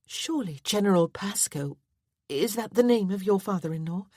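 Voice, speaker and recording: A woman voicing an earnest, gentle young lady in a storybook reading, gently questioning. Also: medium pitch, expressive, normal speed, no echo, studio quality, pitched above the performer's own voice, lightly acted.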